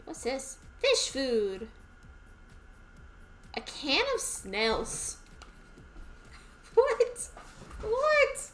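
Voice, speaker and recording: A woman's voice: high voice, wildly swooping pitch, very slow, long pauses, a bit bright, faint music behind. A woman with a Southern US accent, chatting casually to herself as she streams a game. She rambles and is easily amused.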